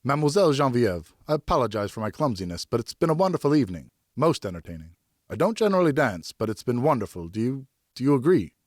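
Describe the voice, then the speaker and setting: Man acting a courteous, smitten French gentleman, raised pitch. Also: very animated, quick pace, dry recording, clean studio sound. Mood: nervous, smitten. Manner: formal, earnest, slightly flustered. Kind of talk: audiobook character dialogue.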